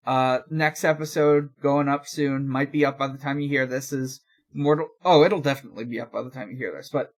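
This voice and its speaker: A young man co-hosting a podcast in casual chat, talking in a halting, rambling way and often correcting himself. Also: fairly high pitch. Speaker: podcast co-host.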